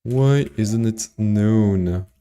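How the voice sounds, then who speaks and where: Low, slow man coding. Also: mellow, clean tone. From Belgium. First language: French. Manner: thinks aloud, halting with many fillers and restarts. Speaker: programmer streaming his coding. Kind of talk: live coding explanation, answering chat.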